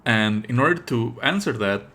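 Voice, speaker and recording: A man streaming live commentary, explaining in an opinionated, pedantic way with halting delivery and emphatic stresses. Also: medium pitch, animated, pushed and bright. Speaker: streamer and host.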